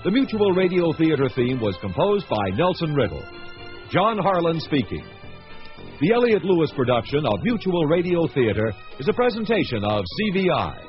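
A male announcer reads scripted radio credits. His voice is fairly high and very animated in intonation. Music plays clearly beneath him on a muffled old broadcast. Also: moderate pace.

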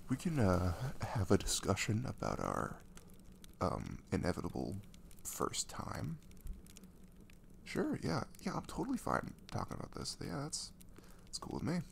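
Man playing a slow, soft, creaky-voiced doting boyfriend. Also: mid-range voice, slight background noise, exaggerated voice. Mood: nervous, earnest. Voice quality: soft, breathy, often near a whisper. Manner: halting, teasing, tender. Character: affectionate, dorky, reassuring young boyfriend. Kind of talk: ASMR boyfriend roleplay, intimate and conversational.

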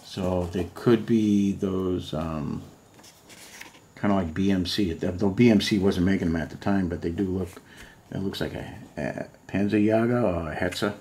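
Low voiced guy at home flipping thru books for the camera, lots of ums, bit of hiss. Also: moderate pace, warm tone. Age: middle-aged. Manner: rambling, musing aloud, many fillers. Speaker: model kit collector showing books. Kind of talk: casual show-and-tell vlog. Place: home room full of model kit boxes.

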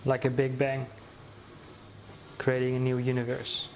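A man leading a guided meditation, speaking slowly and soothingly with many pauses and restarts. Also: mid-range voice, monotone, darkish tone, a little hiss or hum.

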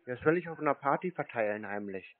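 A man chatting casually and tersely on the phone in a deadpan way, baiting the caller as a prank host. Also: medium pitch, fast talker. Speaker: prank host answering scam calls. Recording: tinny narrowband phone line.

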